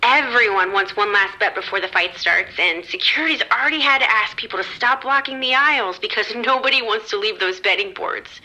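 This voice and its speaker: A woman's voice: mid-range voice, a little fast, few pauses, bright, forceful tone, rough recording. A woman playing an upbeat, unruffled casino floor manager in an audio drama, chatting in a matter-of-fact, reassuring way. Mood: upbeat, busy. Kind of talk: scripted horror audio drama radio exchange.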